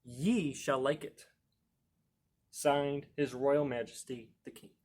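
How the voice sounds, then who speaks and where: A man's voice: medium pitch, fairly even intonation, slow pace, darkish tone. A fed-up storybook king, acted out by a man reading aloud, proclaims a royal decree. The delivery is formal, declamatory and emphatic, in a mid-range voice. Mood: proclaiming.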